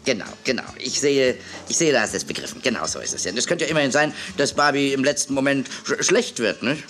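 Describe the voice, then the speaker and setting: A man's voice: medium pitch, animated, fast talker, fairly bright tone, faint music behind. A man playing a scatterbrained, fussy television producer in a children's audio drama, stammering and repeating himself. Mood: rambling explanation. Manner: stammering, repeating himself, losing his words.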